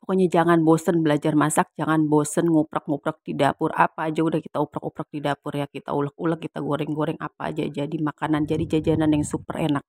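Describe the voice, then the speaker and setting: Lady cooking at home, kinda husky n hoarse, low-ish voice, jokey chatter, dry sound w faint music. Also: little pitch movement, fast talker, hardly any pauses, darkish tone, dry recording. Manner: playful joking banter. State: slightly hoarse from a sore throat. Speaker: cooking channel host. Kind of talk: casual cooking tutorial chat. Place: home kitchen.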